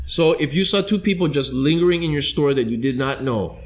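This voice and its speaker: A man co-hosting a casual podcast, with a slightly raised pitch. He asks pointed questions and hypes up his co-host's points in a playful way. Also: lively intonation, brisk. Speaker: podcast co-host.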